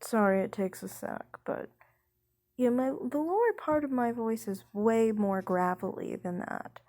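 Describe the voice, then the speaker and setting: A young woman vlogging about her singing in a slightly high voice, rambling and hesitant with lots of fillers. She talks at an unhurried, slow pace. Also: lively intonation, a little mellow. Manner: speaks slowly. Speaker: singer talking about her voice type. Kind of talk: casual vlog chat.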